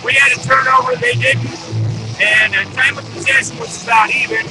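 High-pitched man, casual football commentary. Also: fairly even intonation, a little fast, music in the background, low-quality recording. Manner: blunt, colloquial, swearing fan. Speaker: sports show host. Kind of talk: casual post-game sports commentary.

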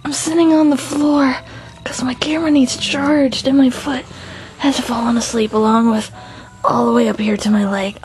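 A woman narrating casually in a rambling, goofy vlog style, recorded indoors on a camera microphone. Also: fairly high pitch. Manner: goofy, giggly. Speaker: amateur artist and comic dubber. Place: indoors at home, sitting on the floor.

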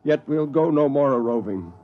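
A man's voice: mid-range voice, expressive, normal speed, narrow, tinny audio, close to an everyday voice. An actor playing an intense, brooding, idealistic spaceman with an earnest, severe, poetic manner in a 1950s radio drama. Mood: mournful. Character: intense, brooding, idealistic spaceman who mourns dead Mars.

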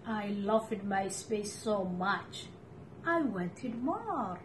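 A middle-aged woman reading a picture book aloud to children, with deliberate, expressive phrasing and pauses. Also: mid-range voice, background noise. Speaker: storytime reader for children. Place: living room at home, indoors.

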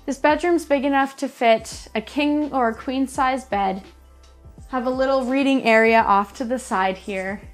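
A woman's voice: sits high, unhurried. A woman in her thirties hosting a walk-through house tour, describing each room in an upbeat presenter manner inside an empty show home. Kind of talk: friendly real estate walkthrough. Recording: faint background music under voice.

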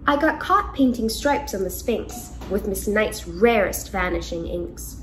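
A woman's voice: mid-range voice, lively intonation, a little slow, soft background music, pitched below the performer's own voice, rough recording. A woman voicing a friendly but timid, mournful boy ghost from a storybook in a dramatic, plaintive way. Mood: glum.

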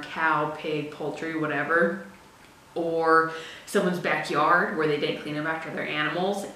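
A young woman chatting casually to the camera, seated at a laptop in a small room, animated and talking with her hands. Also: low voice, heavy reverb, far from the mic, a little hiss or hum. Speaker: farm video host.

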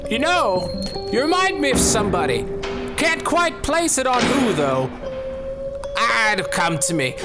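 A man's voice: very high voice, sharp tone, heavily acted. A man playing a smug, menacing death row officer in an audio drama, with a mocking, folksy, theatrical manner. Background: music.

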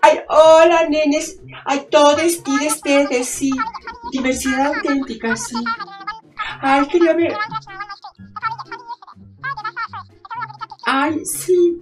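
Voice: high-pitched, fairly even intonation, reverberant, over music. Shrieking Mexican diva woman. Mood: gushing. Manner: loud, fast, gushing, hyper-dramatic. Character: shrill vain woman celebrity diva. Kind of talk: comedy sketch.

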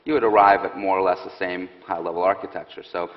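A man in his thirties, a security expert on a stage panel, explaining in a quick, assured way. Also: low-pitched, level tone. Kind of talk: panel interview answer. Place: stage set with audience, indoors. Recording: muffled hall with long reverb.

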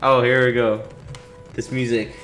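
A man's voice: medium pitch, little pitch movement, unhurried, a bit bright. A young man giving casual, impatient, sarcastic commentary on a video game, sometimes reading its dialogue aloud. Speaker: gaming streamer. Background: video game music.

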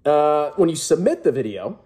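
Husky, brash man with swooping pitch. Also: big pitch swings, normal speed, dark tone. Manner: brash, jokey, emphatic showman. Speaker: personal finance vlogger, host. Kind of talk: casual announcement to camera. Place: small indoor room at home.

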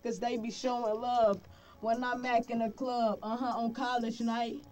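A man's voice: very high-pitched, little pitch movement, normal speed, a little mellow, distant mic, slight background noise. A young man rapping a rhythmic, slang-heavy verse into a studio mic in a vocal booth. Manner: rhythmic, clipped rap delivery with slang. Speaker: rapper. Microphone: studio condenser mic with pop filter.

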